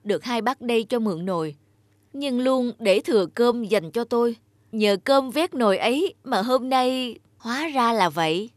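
A woman voicing a poor, grateful young male scholar in an animated folk tale, polite and earnest. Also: on the high side, normal speed, no room sound, studio quality, natural voice. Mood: grateful, sincere. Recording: music behind the voice.

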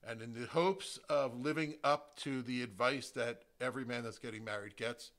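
A middle-aged man with an American accent presents a prepared podcast monologue. His voice is bright and forceful at a medium pitch, and he phrases things emphatically and deliberately. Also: fairly even intonation. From New Jersey, United States. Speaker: podcast host, real estate agent. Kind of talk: prepared solo podcast introduction.

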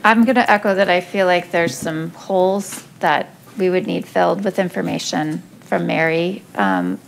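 A woman's voice: lowish voice, vocal fry. A woman on a school board, thinking aloud while she asks questions in a public meeting. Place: council chamber.

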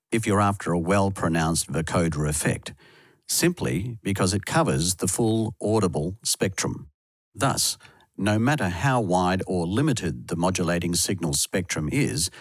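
A man with a low, husky voice narrates a scripted tutorial. His pitch moves around in a lively way, and the close voice-over sound is dry. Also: no echo.

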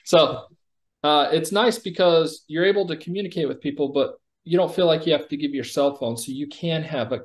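A man giving a conversational product demo over a video call, explaining like a company executive presenting software. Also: on the high side, normal speed.

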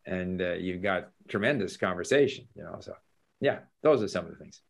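A man's voice: sits low, fairly quick, vocal fry. Older man chatting on a video call. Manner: animated storytelling with gestures, chuckles. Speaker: language learning host. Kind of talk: casual video call chat. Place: home study with bookshelves. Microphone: laptop webcam video call.

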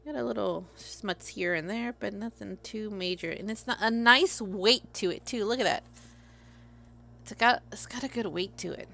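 A chatty Puerto Rican woman livestreaming from her craft table, talking in a Puerto Rican accent and a mid-range voice with a gravelly fry. She rambles casually as she works and laughs at her own tangents. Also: medium pitch, moderate pace, sharp tone. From Puerto Rico. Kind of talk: casual chat while crafting. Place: craft table at home, overhead camera on her hands.

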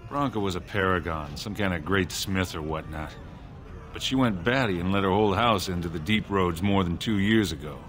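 Gruff tired dwarf fighter from a video game, really deep, blunt and short with words. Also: male voice, normal speed, fairly bright tone. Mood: grim, weary. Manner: terse, world-weary, blunt. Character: weary, gruff dwarf warrior drinking in a tavern. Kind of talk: video game dialogue acting.

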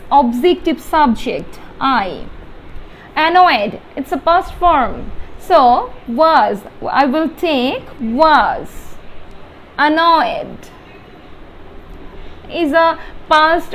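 A young adult Bengali-speaking woman with a Bangladeshi accent, explaining grammar step by step as a teacher, halting and repeating key words with emphasis. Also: high voice. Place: small indoor room with whiteboard. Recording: steady background room noise.

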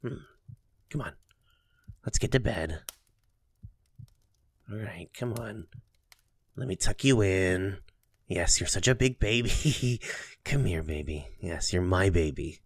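A man playing a doting, teasing young boyfriend in an intimate ASMR roleplay, speaking softly and affectionately. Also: low voice, expressive, takes it slowly, pauses often, husky, exaggerated voice. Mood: teasing, fond. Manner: soft, affectionate, playfully teasing, with chuckles and kisses.

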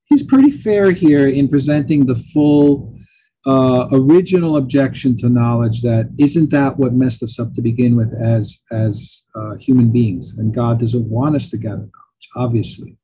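A man's voice: mid-range voice, normal speed, warm tone, slightly rough, low-quality recording. A middle-aged man whose first language is Italian, giving a chatty lecture as a professor, thinking aloud with fillers and asides.

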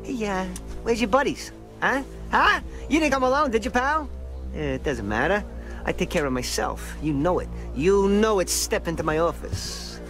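Sneering street thug, swooping delivery. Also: male voice, high-pitched, sing-song, moderate pace. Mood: sly. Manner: taunting, theatrical tough-guy talk. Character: sneering, sadistic adult male street thug. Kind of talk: video game cutscene acting. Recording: game music behind the voice.

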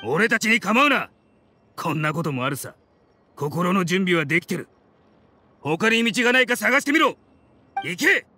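A man's voice: sits high, very animated, normal speed, long pauses, bright, forceful tone, heavily acted. An actor playing a resolute, dutiful male desert mercenary in an anime game, speaking in a firm, commanding way. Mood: urgent.

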